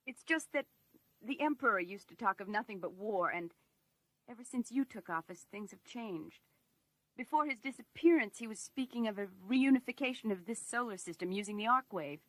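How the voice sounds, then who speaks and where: A woman's voice: on the high side, animated, normal speed, dry recording, lightly acted, rough recording. A woman playing an earnest, polite secretary to an Emperor in a science fiction audio drama, speaking confidingly. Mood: hesitant, apologetic.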